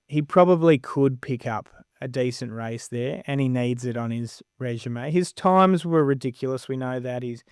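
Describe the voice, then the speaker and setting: Clear-voiced Australian man chatting racing. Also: mid-range voice, dry recording, clean studio sound. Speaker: co-host of a horse racing preview show. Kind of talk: casual racing chat.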